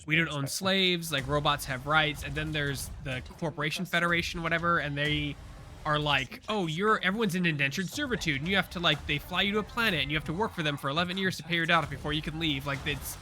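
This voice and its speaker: A man chatting on a live pop culture show in a rambling, eager way. His voice sits fairly high and sounds bright and forward, and he talks quickly, piling up fillers and restarts as he goes. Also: slight background noise. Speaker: co-host. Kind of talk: casual pop culture chat.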